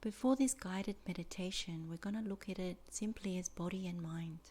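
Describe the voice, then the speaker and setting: Female meditation teacher, slightly low register, soft and breathy at phrase ends, measured and deliberate delivery. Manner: slow, deliberate phrasing with many pauses. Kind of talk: guided meditation instruction.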